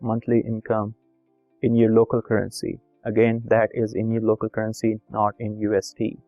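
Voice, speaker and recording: A man whose first language is Urdu guides viewers through a form in an even, monotone voice, reading each field aloud and repeating key points. The recording is dry and a little dull, with faint music underneath. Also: fairly low pitch, normal speed, no echo. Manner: plain instructive, reads form fields aloud, repetitive. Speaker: video tutorial host. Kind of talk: screen-recorded step-by-step walkthrough.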